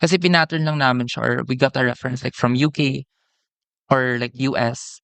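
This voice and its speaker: A Filipino-accented man chats as a founder being interviewed. His voice has plenty of fry but stays resonant. He speaks informally and haltingly, switching languages as he goes, and the sound is close and dry. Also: mid-range voice, a bit bright, dry recording. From Philippines. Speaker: startup founder, interview guest. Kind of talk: casual conversational interview, code-switching.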